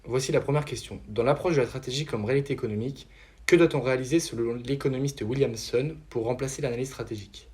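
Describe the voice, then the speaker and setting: A young man hosts a scripted quiz show and rattles off his questions at a very quick pace. His voice has a dark, mellow tone, and he reads every explanation in a steady announcer manner. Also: mid-range voice. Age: young adult.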